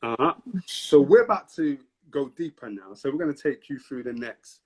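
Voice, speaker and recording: A man's voice: mid-range voice, expressive, moderate pace, breathy edge, poor audio. A man hosting a live-streamed interview, upbeat and hyping up the audience between questions. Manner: upbeat hype, repeats prompts to the audience. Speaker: host.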